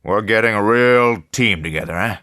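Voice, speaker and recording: A man voicing a loud, jovial, nervous male newspaper boss who talks emphatically. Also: on the low side, normal speed, no room sound, studio quality, voice pushed up.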